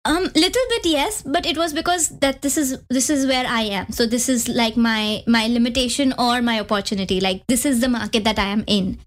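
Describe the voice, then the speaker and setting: A woman's voice: on the high side, fairly quick, hardly any pauses. A woman in her thirties with an Indian accent, a podcast guest chatting casually, full of "like" and "you know" as she restarts her thoughts. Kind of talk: casual interview chat.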